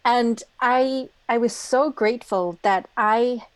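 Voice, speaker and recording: A middle-aged woman speaking as a guest in a live online talk, lively and earnest, laughing often. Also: on the high side, slow pace, dry recording.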